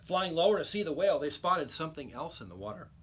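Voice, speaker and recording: A man reading a children's storybook aloud like a teacher, with expressive, emphatic storytelling. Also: fairly high pitch, fast talker, a little hiss or hum.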